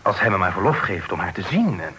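An actor playing an earnest, deferential young son in love in a period radio drama, hesitant, polite and formal. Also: male voice, low-pitched, very animated, quick pace, pushed and bright, breathy edge, exaggerated voice. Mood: hesitant, pleading. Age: young adult. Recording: noisy old radio play recording.

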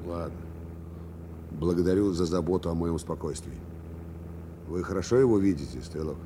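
Actor as a stern, low-voiced bomber commander. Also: male voice, normal speed, background noise, close to an everyday voice. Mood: dry, controlled. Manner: clipped, authoritative, dryly ironic. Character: stern, terse, reserved male bomber commander. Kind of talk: Soviet radio drama acting.

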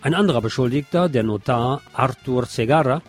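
A man's voice: mid-range voice, brisk, faint music behind. A man presenting radio news from a script, with the occasional wry aside.

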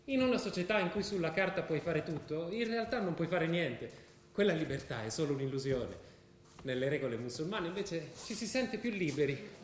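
Radio play: devout young-sounding mystic convert played by a man, high-pitched, earnest and instructive, roomy stage echo. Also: expressive, normal speed, slight background noise, lightly acted. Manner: earnest, didactic, explanatory. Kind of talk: theatrical radio drama dialogue.

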